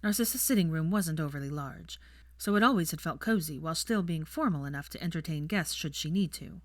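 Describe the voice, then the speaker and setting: A woman's voice: low-pitched, brisk, no room sound, clean studio sound. A woman reading fiction aloud as a scripted story narration.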